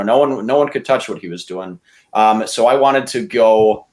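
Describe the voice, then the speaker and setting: A man speaking in a casual debate style as a podcast contestant, rambling and opinionated as he argues his case. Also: fairly low pitch. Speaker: podcast contestant pitching movie ideas.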